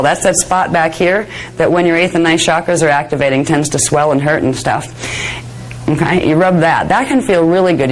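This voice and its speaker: Woman, hoarse, fairly low voice, casual workshop lecture, chatty, laughs a lot. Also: slight background noise, rough recording. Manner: chatty, laughs often, digresses. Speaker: spiritual teacher. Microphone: handheld microphone near face.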